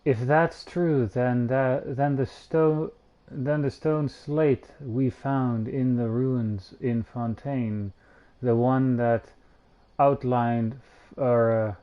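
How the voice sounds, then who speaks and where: Male game streamer, unhurried and low-key, reads dialogue aloud with offhand comments; muffled home microphone. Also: fairly even intonation. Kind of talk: reading game dialogue aloud with casual asides.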